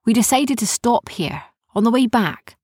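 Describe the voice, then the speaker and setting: A woman voicing a fierce but warm-hearted female pirate leader in a children's story, with colloquial turns of speech. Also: a little high, lively intonation, moderate pace, slightly rough, no room sound, studio quality, pitched above the performer's own voice. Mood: grave, sorrowful. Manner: colloquial, says yous and lassie.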